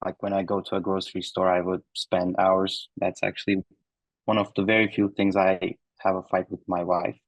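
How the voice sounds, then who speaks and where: A man in his thirties from Turkey, answering interview questions in a relaxed way with many ums and uhs. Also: low voice, normal speed, no echo, low-quality recording. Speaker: founder and guest. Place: remote recording.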